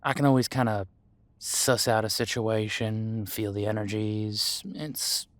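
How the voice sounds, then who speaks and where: A man playing an easygoing demon homicide detective in an audio roleplay, talking in a casual, folksy, reassuring way with hesitant pauses. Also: on the low side, flat delivery, no echo, natural voice. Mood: confident, reassuring. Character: easygoing male demon homicide detective mentoring new partner. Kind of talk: audio roleplay drama, conversational monologue.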